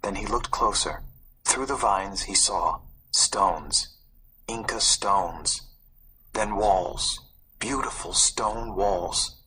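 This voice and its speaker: A man reading a story aloud as a narrator, in a suspenseful, expressive way. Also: on the low side, slow, a little hiss or hum, rough recording. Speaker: audiobook narrator. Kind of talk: dramatic scripted storybook narration.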